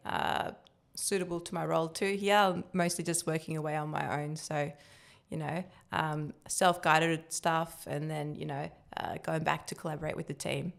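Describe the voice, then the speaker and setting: A young woman with Turkish as her first language answers interview questions in a relaxed, chatty way. Her voice sits fairly low for a woman and sounds smooth and clear. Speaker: guest, building cost estimator. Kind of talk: casual podcast interview.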